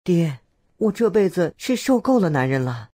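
A fed-up, melodramatic warrior in a fairy-tale game. The voice is husky and swings widely in pitch, recorded dry. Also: female voice, sing-song, normal speed, warm tone, no room sound. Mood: disgusted, fed up. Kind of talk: exaggerated game voice acting.

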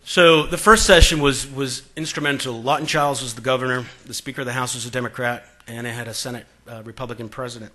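Bright-voiced man speaking at a podium. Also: mid-range voice, steady tone, fast talker, hardly any pauses. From Boston. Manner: conversational, many fillers, acts out quoted exchanges. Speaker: conference speaker. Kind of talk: storytelling talk at a podium. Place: conference room. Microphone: podium microphone.

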